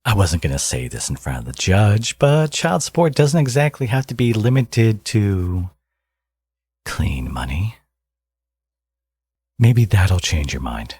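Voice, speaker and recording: A man playing a smug, teasing villain with a secretly caring side in an ASMR audio roleplay, speaking casually and sardonically with a husky voice. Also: on the low side, big pitch swings, a little slow, pauses often, a little mellow, no echo, heavily acted. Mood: smug, coaxing.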